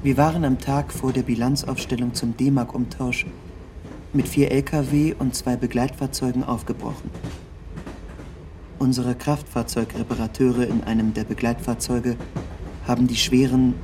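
A man reading a scripted literary narration in the first person, with measured, precise and formal diction. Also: mid-range voice, background noise.

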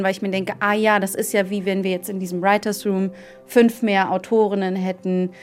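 Woman in casual discussion, faint music. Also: mid-range voice. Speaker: co-host.

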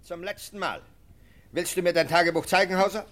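An actor in a German radio play playing a sneering, sceptical nineteenth-century police lieutenant with a clipped, officious manner. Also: male voice, high voice, brisk, a bit bright. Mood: menacing, mocking.

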